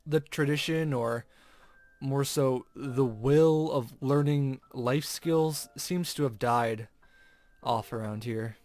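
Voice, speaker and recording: A man's voice: mid-range voice, takes it slowly, darkish tone. A man hosting a gaming video, reading a visual novel aloud expressively with informal commentary.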